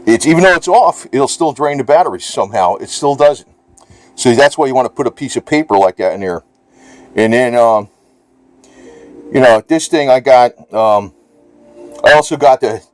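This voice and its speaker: A man casually reviewing gear in a rambling, colloquial way, full of informal slang, recorded indoors at home. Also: mid-range voice. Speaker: video creator.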